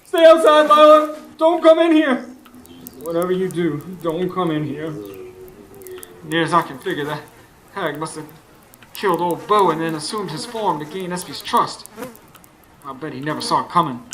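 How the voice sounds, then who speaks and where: An actor playing an anxious, determined husband who narrates a ghost hunt in a folksy, urgent way in a live radio drama. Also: male voice, on the high side, animated, moderate pace, long pauses, fairly bright tone, low-quality recording. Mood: panicked. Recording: live stage recording, clear background noise.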